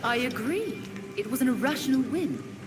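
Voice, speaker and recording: A woman's voice: high voice, lively intonation, moderate pace, over music. An actress playing a cool, aloof female assassin in a video game, formal and controlled. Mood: cool, grave. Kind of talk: video game cutscene acting. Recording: loud vehicle-like ambient noise.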